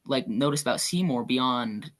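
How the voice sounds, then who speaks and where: A man's voice: medium pitch, lively intonation, moderate pace, fairly bright tone. Chatty young man rambling casually. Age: young adult. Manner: chatty, rambling, frequent "like" fillers. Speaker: guest actor. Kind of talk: casual podcast chat.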